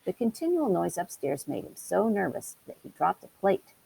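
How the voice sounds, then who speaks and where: A woman reading a children's story aloud in an expressive way. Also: fairly low pitch, normal speed, gravelly, slightly rough, low-quality recording. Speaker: storybook reader. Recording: hissy background noise.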